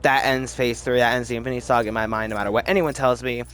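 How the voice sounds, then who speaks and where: A man's voice: medium pitch, steady tone, very fast, fairly bright tone, soft background music. A man hosting a casual, opinionated commentary video, talking in a rambling, emphatic way and often interrupting himself. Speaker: video host.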